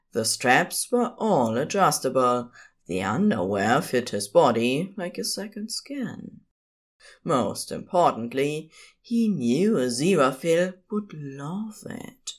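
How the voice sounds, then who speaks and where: A narrator reading scripted fan fiction expressively, as in an audiobook. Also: medium pitch. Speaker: podfic narrator.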